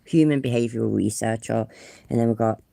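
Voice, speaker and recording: Woman, blocked-up with a cold, dark gravelly voice, chatty and sweary, phone livestream. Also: extremely low pitch, brisk, dry recording, clean studio sound. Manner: chatty, sweary, self-deprecating. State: congested with a cold. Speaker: livestream host. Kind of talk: casual live stream chat.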